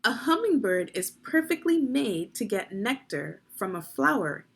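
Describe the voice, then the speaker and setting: A woman's voice: a little high, very animated, unhurried, creaky, fairly bright tone, breathy edge. A woman reading children's nonfiction aloud as a teacher, instructive and putting guiding questions to young listeners. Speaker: read-along narrator and reading teacher.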